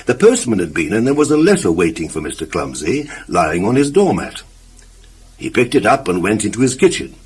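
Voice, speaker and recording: A man's voice: lowish voice, big pitch swings, brisk, darkish tone, husky. A man narrating a scripted children's story expressively, with emphatic phrasing and asides to the listener.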